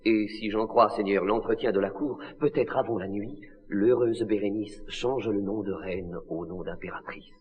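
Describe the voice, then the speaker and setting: Actor as loyal royal confidant, hall reverb. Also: male voice, on the low side, normal speed, hardly any pauses, dull audio, close to an everyday voice. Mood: respectful, eager. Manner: declamatory, formal, earnest verse delivery. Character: loyal, deferential male confidant of a king. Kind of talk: classical tragedy stage acting. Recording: long reverb of a large hall.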